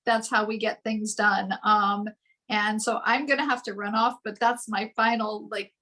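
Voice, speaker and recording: A woman's voice: medium pitch, steady tone, measured pace, pushed and bright. A woman legislator speaking on a video call panel, encouraging and often restarting her sentences. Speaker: state legislator.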